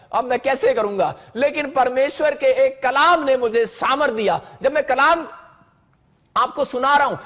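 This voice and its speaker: Male pastor, extremely high voice, emphatic and repetitive sermon exhortation. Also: a bit bright. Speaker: pastor preaching.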